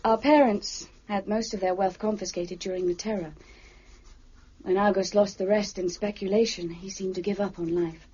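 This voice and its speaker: A woman as a husky, wistful genteel lady. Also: mid-range voice, steady tone, normal speed, dark tone, dull audio, lightly acted. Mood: wistful, grave. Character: genteel, wistful sister of a reclusive gentleman. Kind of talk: period radio drama acting.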